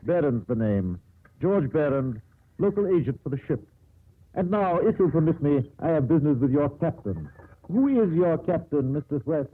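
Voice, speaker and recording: Husky, pompous male shipping agent. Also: a little high, big pitch swings, normal speed. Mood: formal, polite. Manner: formal, pompous. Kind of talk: 1950s radio drama acting. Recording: muffled old 1950s radio recording.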